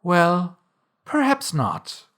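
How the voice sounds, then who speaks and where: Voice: mid-range voice, expressive, measured pace, fairly bright tone, voice pushed up. A narrator voicing a polite, eager little storybook girl who talks in an earnest, chatty, apologetic way. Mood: soothing, apologetic.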